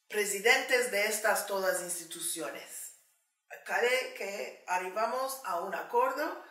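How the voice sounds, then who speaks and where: A woman from Turkey whose first language is Turkish, speaking slowly and thoughtfully with many pauses in a documentary interview. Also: fairly low pitch, bright, forceful tone. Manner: slow, thoughtful, with frequent pauses and fillers. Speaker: Ladino language advocate interviewee. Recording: roomy sound, mic at a distance.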